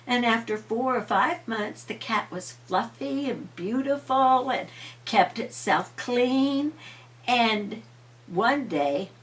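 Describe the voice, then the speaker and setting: Grandma lady doing storytime, unhurried, dramatic, voice goes up and down a lot. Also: fairly high pitch, expressive, recorded from a distance. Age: older. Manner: homely, dramatic, repeats refrains with emphasis. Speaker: grandmother telling bedtime stories. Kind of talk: animated storytelling for children.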